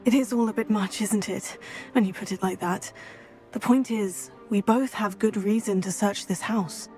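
A thoughtful young witch student who speaks in a measured, level way, sometimes dropping to a hush , as a young actress voices her. Her pitch sits in the middle of a woman's range. Faint music plays beneath the game dialogue. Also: steady tone, lightly acted. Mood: explaining. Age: young adult. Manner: measured, explaining softly, sometimes hushed. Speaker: player character in a video game.

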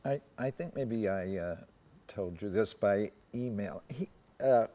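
A man in his seventies reminiscing as a panel guest on a theatre stage, speaking in a halting, tentative way. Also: lowish voice, warm tone. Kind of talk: conversational reminiscence.

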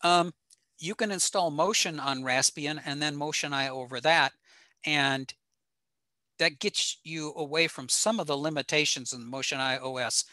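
Bright-voiced man giving a technical presentation. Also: a little high, normal speed, no echo. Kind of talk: informal slide presentation on technical gear. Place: indoor home room, over a video call.

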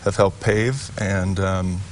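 A man in his thirties, seated on a TV studio set with a lapel mic, answering questions about his family business. He talks in a halting way, stopping and restarting with plenty of fillers. His voice sits a little low, with a faint hum behind it. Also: steady tone. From Utah. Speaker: company president, guest. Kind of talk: sponsored TV studio interview.

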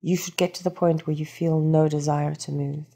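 A woman leading a guided meditation, speaking in a calm, soothing and unhurried instructional manner. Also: low-pitched, gravelly.

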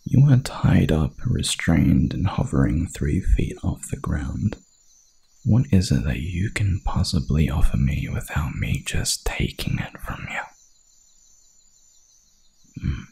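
Very deep, gravelly werewolf. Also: male voice, big pitch swings, warm tone, full character voice. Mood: mocking, sceptical. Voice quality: gravelly, breathy growl. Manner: slow, seductive, menacing drawl. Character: hungry, dominant male werewolf hunter, menacing and teasing. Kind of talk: ASMR roleplay.

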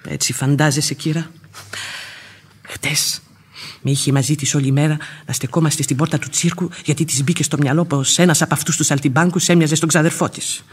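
An actress playing a blunt, grumbling, devoted old housekeeper in a Greek radio play. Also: female voice, sits low, steady tone, breathy edge. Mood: wry, teasing. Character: feisty, outspoken, devoted old housekeeper, long in service. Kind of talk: Greek radio theatre acting.

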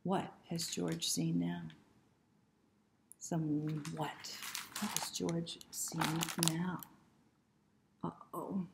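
A woman's voice: on the low side, flat delivery, very slow, gravelly, long pauses. A middle-aged woman reading a picture book to children as a library storyteller, often asking questions and inviting them to join in. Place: small room indoors. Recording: roomy, faint background noise.

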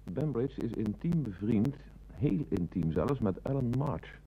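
An actor playing a dutiful police detective in a radio play, reporting in a matter-of-fact, clipped way. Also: male voice, medium pitch, big pitch swings, unhurried, mellow, slightly rough, lo-fi sound, exaggerated voice. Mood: factual, knowing. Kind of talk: Dutch radio play acting.

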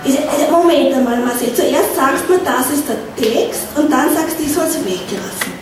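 A woman voicing a cocky, slow-witted young male singer with an Austrian accent, recorded on a stand mic in a small pub. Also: high voice, close to an everyday voice. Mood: boastful. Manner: dim, defensive, boastful. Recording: echoey bar room, distant mic, noisy.

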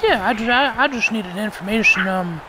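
A man voicing a creepy, chatty old man on a prank phone call, halting and repeating himself. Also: high-pitched, expressive, pitched above the performer's own voice. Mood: rambling, friendly. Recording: very noisy call over game audio.

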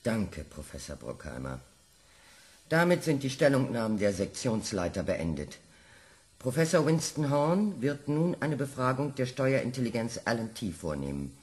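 An actor playing a brisk, formal male chairman running a commission hearing. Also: mid-range voice, sing-song, natural voice. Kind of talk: radio play acting.

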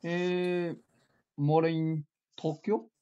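A man in his twenties with a Chinese accent speaks slowly and hesitantly, often restarting and repeating words. His voice is heard through dull, lo-fi video call audio from a small room. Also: a little high, level tone, mellow, smooth. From China. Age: 20s. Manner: halting, hesitant, repeats words while searching. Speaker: language learner and channel host. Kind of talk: casual video chat. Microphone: webcam on a video call.

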